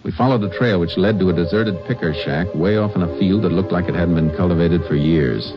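An actor playing a stern, shrewd Texas Ranger with an American accent, dry and matter-of-fact, in an old-time radio drama. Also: male voice, deep voice, a little fast, natural voice. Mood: grim narration. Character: stern, shrewd male Texas Ranger narrating his case. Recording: muffled vintage radio recording, music behind.

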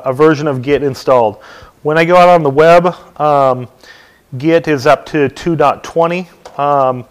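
A middle-aged man walks a room through a software install, speaking loosely with frequent ums and uhs. His voice sits a little high for a man and comes through smooth and clear. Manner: relaxed, many ums and uhs. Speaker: technical trainer. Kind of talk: hands-on tech tutorial talk. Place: dim meeting room, standing at lectern.

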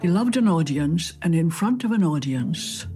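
An interviewee giving reflective commentary, deliberate and repeating phrases for emphasis. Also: mid-range voice, expressive, warm tone. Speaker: documentary interviewee. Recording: music under the voice. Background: plucked guitar music.

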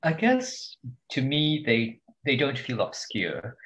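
A man, a translator guest on a podcast over a video call, answering in a measured, articulate way. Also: mid-range voice, wildly swooping pitch, fairly bright tone. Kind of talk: thoughtful interview answer. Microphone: home mic on a video call.

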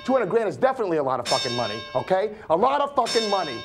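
An actor playing a paranoid, frazzled criminal who swears constantly. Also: male voice, medium pitch, lively intonation, rapid-fire, music in the background, natural voice. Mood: ranting. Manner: profane, pleading then ranting.

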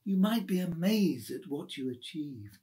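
A man's voice: fairly high pitch, animated, measured pace, dark tone. A middle-aged man reading a rhyming story aloud in a theatrical storytelling style. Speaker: poem reader. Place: small room at home.

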